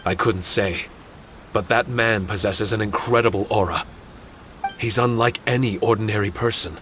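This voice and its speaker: A man's voice: lowish voice, noisy background, close to an everyday voice. A man voicing a small, earnest, courteous paper spirit in a video game, formal and self-blaming. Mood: thoughtful. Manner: formal, measured phrasing. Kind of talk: video game cutscene acting.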